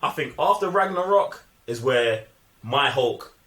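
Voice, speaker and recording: A man's voice: medium pitch, animated, unhurried, fairly bright tone. A man with a London accent in a casual film discussion, talking emphatically in heavy slang and repeating himself to press his points. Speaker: film review show host. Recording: roomy, echoing room sound.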